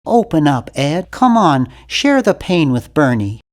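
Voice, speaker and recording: A man's voice: fairly high pitch, sing-song, a little slow. A man playing an earnest, awkward, nerdy young cartoon hero in an adventure game, with a nasal, geeky, carefully worded delivery. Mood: chatty, awkward. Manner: geeky, over-articulate, earnest. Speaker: video game voice actor. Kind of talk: animated adventure game character lines.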